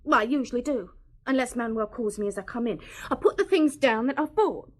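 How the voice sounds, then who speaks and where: A woman's voice: fairly high pitch, expressive, fairly quick. An actress playing a grieving, defensive young woman in a radio drama. She answers sharply and swears. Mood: shaken.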